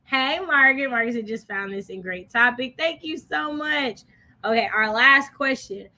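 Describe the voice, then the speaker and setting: A woman's voice: fairly high pitch, normal speed, sharp tone. A woman with an American accent co-hosting a casual live book discussion, speaking emphatically and repeating phrases to drive points home. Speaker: co-host of a book discussion livestream.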